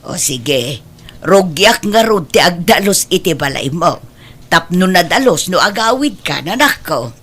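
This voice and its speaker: A woman acting a self-sacrificing, middle-aged mother in a radio drama. She has a lowish voice and speaks earnestly to her grown children, firm yet caring as she admonishes them. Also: expressive, unhurried, a bit bright, husky, exaggerated voice. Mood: tender.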